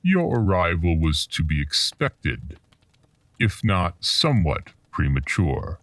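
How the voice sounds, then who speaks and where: A man acting a mysterious, ominous storyteller in a horror radio drama, speaking in a portentous, deliberate, taunting way. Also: sits low, very animated, fairly bright tone, no echo, distant mic, exaggerated voice. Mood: ominous, grave. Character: mysterious, ominous male storyteller collecting dark legends. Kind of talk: slow theatrical horror radio drama narration.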